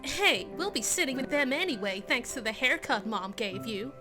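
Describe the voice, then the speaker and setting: A woman's voice: high voice, expressive, normal speed, bright, forceful tone, soft background music. A woman voicing a playful young male dragon who needles his friend in a fan script reading. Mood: cheeky.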